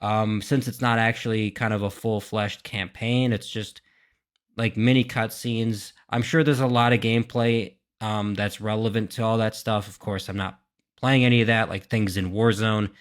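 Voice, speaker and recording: A man's voice: fairly low pitch, fairly even intonation, normal speed. A man giving casual, unscripted reaction commentary as a gaming video host, thinking aloud and hesitating as he goes.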